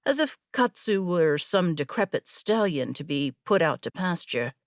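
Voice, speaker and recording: A woman narrates an audiobook story. Her voice sits at an average pitch and moves slowly, with measured, deliberate phrasing. The sound is very dry, free of room echo.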